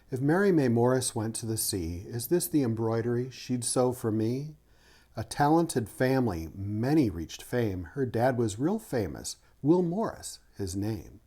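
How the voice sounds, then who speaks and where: A man's voice: medium pitch, mellow. A man who is an author and art teacher, reading a rhyming children's picture book aloud and adding short teacherly explanations. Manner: rhythmic reading of rhyming verse, teacherly asides.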